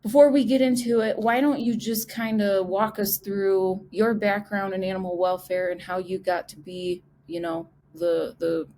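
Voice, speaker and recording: A woman hosts a podcast interview with an easy, rambling chattiness. She sounds a bit nasal from a cold. Her pitch sits at an ordinary middle level, and there is faint ambience behind her. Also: moderate pace, hardly any pauses, mellow, a little hiss or hum. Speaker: podcast host.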